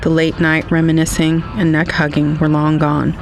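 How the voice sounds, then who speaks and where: Guy narrating an audio drama, pretty flat delivery, slightly high voice, wistful and measured. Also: vocal fry, music in the background.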